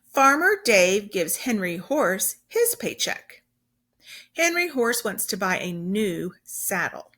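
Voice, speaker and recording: Female teacher, bright and animated, unhurried children's read-aloud with emphatic simple explanations. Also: mid-range voice.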